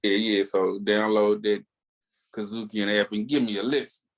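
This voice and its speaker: A middle-aged man with a deep voice co-hosts a podcast. He chats casually and loosely, in a halting, slangy, laid-back manner. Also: flat delivery. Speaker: podcast co-host and reviewer. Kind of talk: casual chat.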